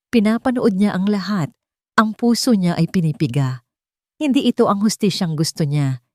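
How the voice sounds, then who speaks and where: A woman narrates a dramatic audio story in a lowish voice with very lively intonation. Her delivery is theatrical and suspenseful, captured in a dry, close recording. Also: no room sound.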